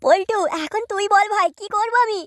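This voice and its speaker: A woman voicing whining, excitable, crude village men in a comic cartoon. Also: very high-pitched, a little fast, no room sound, clean studio sound. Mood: troubled, complaining. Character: squeaky, excitable comic male village fellows.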